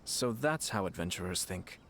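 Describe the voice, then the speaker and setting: Composed, low-voiced nobleman. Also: animated, fairly bright tone, a little hiss or hum. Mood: measured, cautious. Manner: formal, measured, articulate. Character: composed, courteous young nobleman, calculating and measured. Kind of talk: video game character acting. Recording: music faintly behind.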